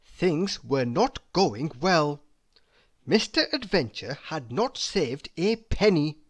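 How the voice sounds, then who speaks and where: A storybook reader narrating for children in a deliberate, expressive way, drawing out key words for emphasis. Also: mid-range voice.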